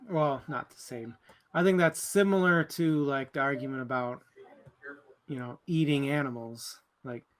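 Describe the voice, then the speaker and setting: A man's voice: on the high side, a bit bright. A man chatting casually as a panelist in a book discussion, tentatively qualifying what he says as he goes. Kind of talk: casual chat.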